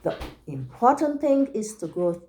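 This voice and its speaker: Sly big storybook animals voiced by a woman reader. They coax slowly with very varied pitch, over faint background noise. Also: big pitch swings, a little mellow. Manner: sly, coaxing. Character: sly big animals coaxing a little rabbit.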